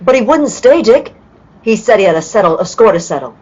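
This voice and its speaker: A woman's voice: mid-range voice, sing-song, fairly quick, a little mellow, slightly rough. An actress playing an earnest, helpful young woman assisting a detective in an old-time radio play. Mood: concerned. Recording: dull, lo-fi call audio.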